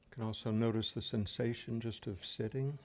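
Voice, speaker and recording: A man leading an unscripted guided meditation as a teacher, speaking gently and unhurriedly with long pauses. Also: medium pitch. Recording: steady background noise of fans and birds. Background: fans, birdsong and insects.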